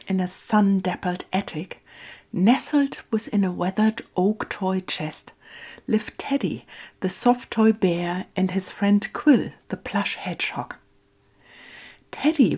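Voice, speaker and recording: A woman hosting a craft video, talking in a musing, unhurried storytelling way. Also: lowish voice, a little mellow, husky. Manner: musing, hesitant, thinking aloud. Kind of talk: casual craft narration and slow storytelling.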